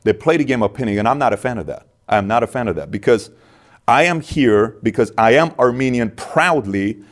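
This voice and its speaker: A man's voice: medium pitch, lively intonation, fairly quick. A man from Iran in his thirties, speaking as an interview guest, emphatic and repeating lines for stress. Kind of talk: candid interview talk.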